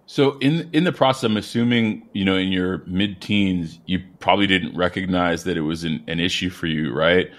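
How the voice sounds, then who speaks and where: A man's voice: low voice, moderate pace, hardly any pauses, a bit bright. A man hosting a podcast interview, upbeat and encouraging, with many "you know"s and ums. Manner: upbeat, encouraging, filler-heavy. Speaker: podcast host.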